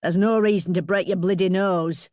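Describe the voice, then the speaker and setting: Woman acting a shrill, furious mother. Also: normal speed, no echo, studio quality, close to an everyday voice. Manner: bellowing, confrontational, sarcastic. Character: shrill, furious large working-class mother, bellowing and sarcastic.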